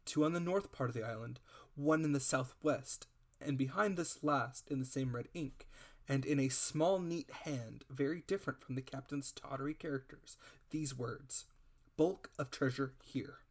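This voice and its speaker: A man's voice: medium pitch, moderate pace. Man giving a dramatic storybook narration. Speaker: audiobook reader.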